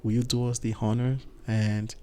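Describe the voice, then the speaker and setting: A man's voice: fairly low pitch, little pitch movement, moderate pace, mellow, clear, resonant tone. A man hosting a podcast interview in a studio, chatty and teasing, often repeating himself. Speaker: podcast host.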